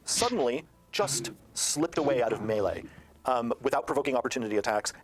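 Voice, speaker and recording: A man speaking as a game designer in an interview, explaining in detail with halting emphasis. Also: medium pitch, animated, slightly rough.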